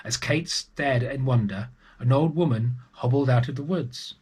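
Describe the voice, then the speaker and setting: A man's voice: mid-range voice, normal speed, a little mellow, slightly rough. A man reading a picture book to young children expressively, with chatty asides to the listeners. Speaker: storybook reader for early years children.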